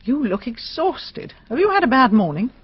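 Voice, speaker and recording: An actress playing a brisk, kindly crime novelist, politely prompting in a radio drama. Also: female voice, a little high, sing-song, slightly rough, no echo, lo-fi sound, heavily acted. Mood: solicitous.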